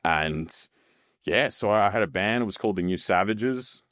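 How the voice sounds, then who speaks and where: An Australian man in his thirties, a podcast guest telling his story in a relaxed, chatty way with many fillers and easy laughs. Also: lowish voice, normal speed, dry recording, clean studio sound. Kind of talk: casual interview chat.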